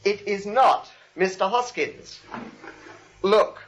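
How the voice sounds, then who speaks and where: An actor playing a frantic, exasperated writer, stammering and emphatic, in 1940s radio comedy style. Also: male voice, high voice, slow pace, a bit bright. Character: frantic, exasperated male writer in a mad family. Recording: dull old radio recording.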